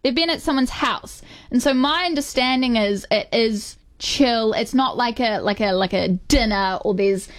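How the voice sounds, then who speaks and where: A woman's voice: on the high side, moderate pace, few pauses. A woman in her twenties chatting casually in a group debate, hedging and defending herself. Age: 20s. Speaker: show panelist.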